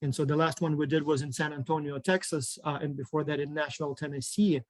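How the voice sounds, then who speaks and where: A man's voice: fairly high pitch, steady tone, quick pace, no room sound, clean studio sound. A man from Ukraine chatting casually on a video call, hesitant with many ums and restarts. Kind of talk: casual online group chat.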